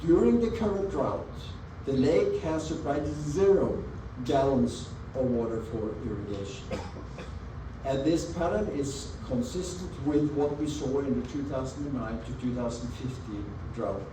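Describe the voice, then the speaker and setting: A man from Norway with a Norwegian accent, giving an earnest, measured presentation over slides at a community meeting and stressing key words. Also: mid-range voice, animated. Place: meeting room with an audience. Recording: echoey meeting room, mic at a distance, audible background noise.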